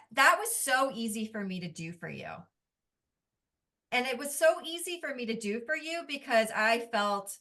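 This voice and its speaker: A woman speaks as a guest in a casual conversation, earnest and reflective. Her voice is bright and forceful, and she builds her points in short phrases. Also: a little high. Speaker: guest, executive function coach and doodle artist.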